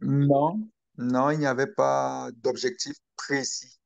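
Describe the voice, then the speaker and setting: A young man from Cameroon, speaking slowly and hesitantly as an interview guest about his own story. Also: medium pitch, little pitch movement, gravelly, dry recording. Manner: slow, hesitant, with pauses and restarts. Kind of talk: interview, personal account.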